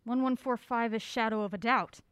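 A woman playing a feisty, quick-witted young amateur sleuth with a brisk, sardonic manner in an audio drama. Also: on the high side, fairly even intonation, fairly bright tone, clean tone, no room sound, close to an everyday voice. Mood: thoughtful.

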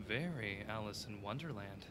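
Man playing a playful, animated mystery partner. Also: fairly low pitch, normal speed, background noise, lightly acted. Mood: giddy, wry. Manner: bantering, punchy. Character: playful, excitable male partner on a mystery hunt. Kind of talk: naturalistic audio drama acting.

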